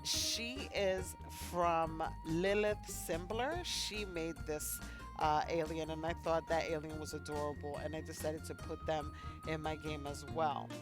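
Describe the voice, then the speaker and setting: A woman's voice: sits low, steady tone, a little slow, music in the background. A woman hosting a let's play, chatting casually and unhurriedly with fillers, a bit sniffly. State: sniffly, slightly congested. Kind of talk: casual gameplay commentary.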